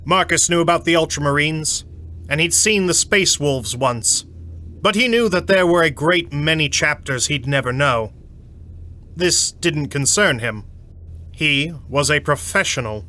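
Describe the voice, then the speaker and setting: A man narrating a scripted sci-fi audio drama in a measured, suspenseful, slightly wry storytelling manner. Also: on the high side, expressive, sharp tone.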